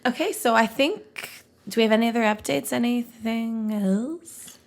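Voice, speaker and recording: Gravelly fry woman cohost, joking around, acts stuff out, casual podcast chat. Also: fairly high pitch, moderate pace. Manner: playful, dramatic retelling. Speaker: podcast co-host. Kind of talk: casual comedic banter.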